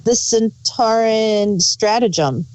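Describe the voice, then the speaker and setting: A woman's voice: a little high, slow, a little mellow, rough recording. A woman chatting casually as a review co-host, chipping in with brief, offhand remarks. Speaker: podcast co-host reviewing audio drama. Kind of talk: casual chat.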